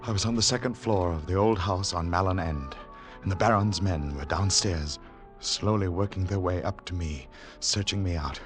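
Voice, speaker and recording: An actor playing a haunted secret agent on the run, narrating in dramatic, deliberate, clipped phrases in 1940s radio thriller style. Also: male voice, low-pitched, music in the background, lightly acted. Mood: urgent, controlled. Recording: noisy 1940s radio recording with clicks.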